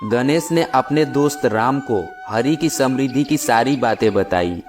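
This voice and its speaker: A man with a mid-pitched voice tells a children's story. His delivery is scripted narration for a moral tale. Light music plays behind him. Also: animated, a little slow. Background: background music.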